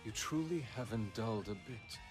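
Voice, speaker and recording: A man's voice: lowish voice, animated, normal speed, lightly acted. A man voicing an earnest, intensely devoted assassin disciple in a video game, formal and solemn. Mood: respectful, calm. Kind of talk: video game character acting. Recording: studio voice under loud guitar music.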